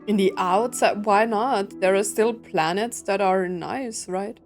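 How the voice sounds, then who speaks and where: A woman's voice: medium pitch, a little slow, soft background music. A woman streamer giving casual playthrough commentary, reading game text aloud and musing in short reactions.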